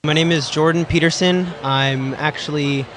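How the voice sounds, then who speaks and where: A young man from Southern California answering interview questions in a relaxed, hesitant way. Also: a little high, moderate pace, a little hiss or hum.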